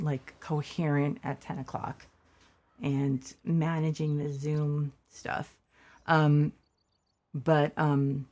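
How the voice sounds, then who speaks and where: A middle-aged woman streamer, hoarse from losing her voice, chatting softly and supportively, with many pauses and restarts. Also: deep voice. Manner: soft and hesitant, many fillers and restarts. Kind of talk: casual supportive chat during a game stream.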